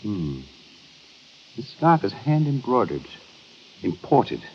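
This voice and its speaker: An actor playing a commanding police inspector who questions pointedly, in an old-time radio detective drama. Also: male voice, on the low side, big pitch swings, slow, exaggerated voice. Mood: probing. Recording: muffled, noisy old radio recording.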